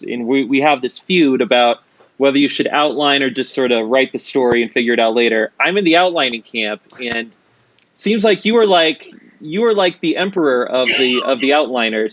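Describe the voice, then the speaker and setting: A man's voice: medium pitch, expressive, fairly quick, fairly bright tone, no room sound, low-quality recording. A man hosting an interview, asking questions in an enthusiastic, conversational way. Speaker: interviewer and podcast host.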